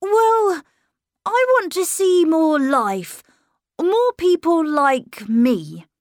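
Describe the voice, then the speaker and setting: A woman's voice: extremely high pitch, big pitch swings, drawn-out words, no echo, studio quality, voice pushed up, full character voice. A woman voicing a polite, charming young boy who knows he has the upper hand, in an audiobook reading. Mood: polite, insistent. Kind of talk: audiobook character voice.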